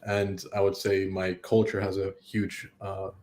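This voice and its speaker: A man on a discussion panel, speaking in a measured, thoughtful way with some hesitation. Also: low-pitched, monotone, darkish tone. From United States.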